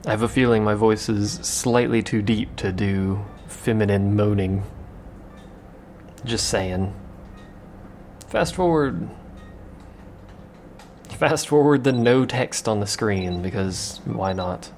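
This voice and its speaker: A man's voice: on the low side, takes it slowly, pauses often, a little mellow, slight background noise. A man giving casual, rambling gameplay commentary with a joking, self-mocking manner. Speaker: let's play commentator.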